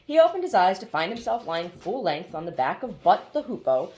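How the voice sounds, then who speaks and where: Woman reading a story with very lively intonation. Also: on the low side, a little fast. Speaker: audiobook reader. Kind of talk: expressive storybook narration.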